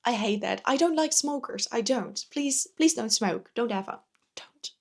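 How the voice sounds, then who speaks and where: A woman in her twenties from the Netherlands, whose first language is Dutch, talks through her monthly reads. Her delivery is chatty and enthusiastic, sprinkled with laughs, and at times she drops into soft, quiet asides. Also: on the high side, animated, unhurried, gravelly, husky. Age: 20s. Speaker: book vlogger. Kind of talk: casual book review chat.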